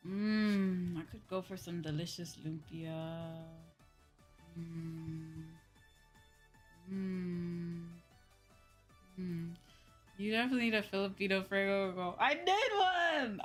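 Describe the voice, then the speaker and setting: A woman hosting a casual livestream, chatting with viewers in a drawn-out, exclamatory way and often repeating her phrases. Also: lowish voice, a bit bright. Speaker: livestream host.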